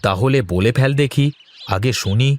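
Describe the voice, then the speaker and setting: An actor playing a confident, easygoing village husband in a cartoon folktale. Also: male voice, medium pitch, normal speed, a little hiss or hum, natural voice. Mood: casual, assured. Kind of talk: Bengali cartoon folktale dialogue.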